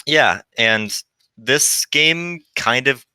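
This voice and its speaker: A man's voice: medium pitch, lively intonation, very slow, bright, forceful tone, smooth, dry recording. A man in his twenties chatting casually as a guest game developer about his work. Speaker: visual novel developer, guest.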